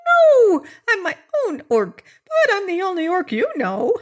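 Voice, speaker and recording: A woman voicing a tiny, bumblebee-sized orc with a very small, squeaky voice. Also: wildly swooping pitch, measured pace, heavily acted. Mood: dismayed.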